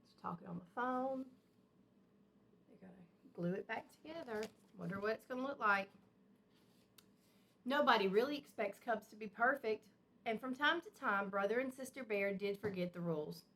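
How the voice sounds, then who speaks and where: Bright-voiced woman reading a storybook. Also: mid-range voice, moderate pace, long pauses, a little hiss or hum. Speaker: teacher reading aloud to children. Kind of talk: expressive storybook read-aloud with simple asides.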